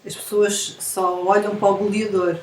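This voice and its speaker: A woman's voice: mid-range voice, level tone, moderate pace, darkish tone. Portuguese woman explaining in a roomy echo. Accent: European Portuguese. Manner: explains with analogies, frequent tag questions. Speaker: interviewed scientist. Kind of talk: explanatory interview talk. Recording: echoey room, faint noise.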